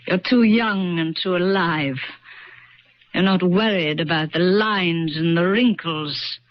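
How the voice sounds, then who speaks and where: An actress playing an ancient, sly witch who poses as a woman, in vintage radio drama style, with slow and insinuating delivery. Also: lowish voice. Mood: brooding, insinuating. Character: ancient female witch posing as old woman and young temptress. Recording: muffled noisy vintage radio recording.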